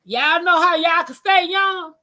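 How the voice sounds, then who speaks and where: Her voice sits high and bright, and she speaks slowly, drawing out her words. She is a woman who hosts a laid-back livestream, ribbing her co-host and laughing easily. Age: 40s. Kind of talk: casual livestream chat.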